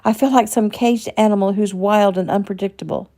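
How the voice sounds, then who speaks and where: A woman voicing a traumatized young woman who shouts in rage and breaks toward tears. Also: mid-range voice, fairly even intonation, a little fast, pitched above the performer's own voice, close to an everyday voice. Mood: furious.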